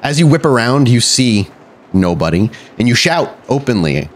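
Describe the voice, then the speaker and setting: A man's voice: medium pitch, big pitch swings, moderate pace, a little hiss or hum. A man working as a game master, narrating a tabletop roleplay podcast with dramatic, cinematic scene-setting.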